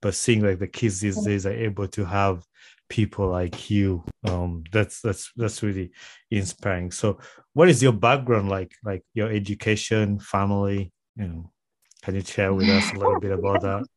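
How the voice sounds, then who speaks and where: A man with a Ugandan accent chats casually as a podcast host. His voice sits fairly low, and he is recorded close and dry on a studio microphone. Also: fairly even intonation, moderate pace, no echo. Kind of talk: casual interview chat. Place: small home studio, indoors. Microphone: close podcast microphone on boom arm.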